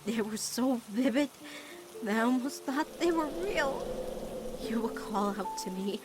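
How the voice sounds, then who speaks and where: A woman voicing an anguished, devoted teenage girl in an emotional cartoon fan dub, with dramatic, halting, pleading delivery. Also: fairly high pitch, expressive, loud music behind the voice. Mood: haunted, subdued. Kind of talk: emotional animated-series fan comic dub.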